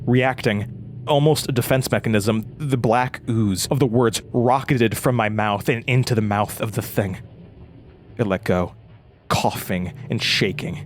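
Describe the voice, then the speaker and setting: Actor playing a haunted, low-voiced stammering man. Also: close to an everyday voice. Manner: stammering, repeating words. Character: haunted, panicky young male radio-show narrator recounting horrors. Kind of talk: audio drama first-person horror narration.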